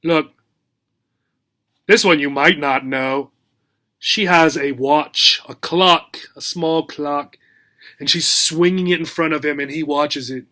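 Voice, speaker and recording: A man reads to young children as their teacher, taking his time over simple, short phrases. He prompts his listeners with questions in an animated, instructive way, at an unhurried pace. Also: on the high side. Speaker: teacher reading a picture book to young learners. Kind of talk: children's read-aloud lesson, asking questions.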